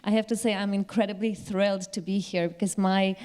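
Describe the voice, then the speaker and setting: A woman's voice: medium pitch, steady tone, fairly quick, darkish tone, smooth. A woman moderating a discussion, giving live congratulatory remarks to guests at an event. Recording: long hall reverb.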